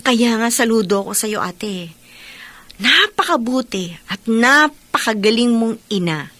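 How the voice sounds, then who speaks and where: Bubbly, high-voiced Filipino little sister. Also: female voice, lively intonation, measured pace, bright, forceful tone, no room sound. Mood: affectionate. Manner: bubbly, teasing, affectionate. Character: playful, admiring younger sister and doting aunt. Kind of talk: Tagalog radio drama acting.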